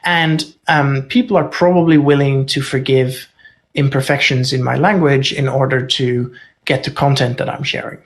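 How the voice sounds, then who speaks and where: A man's voice: medium pitch, steady tone, normal speed. A man who grew up in Norway, a native Dutch speaker talking in English as a guest expert, candid and thinking aloud with wry asides. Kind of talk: casual conversational interview.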